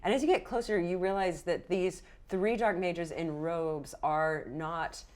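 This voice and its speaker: A woman's voice: on the low side, a little slow, darkish tone. A woman game master narrating improvised tabletop adventure in an informal, conversational, playful way.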